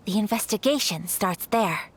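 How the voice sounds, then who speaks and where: A woman voicing a poised, gracious young noblewoman in a video game, with formal, measured and resolute speech. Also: medium pitch, lively intonation, lightly acted. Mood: composed. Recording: music behind the voice. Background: game music.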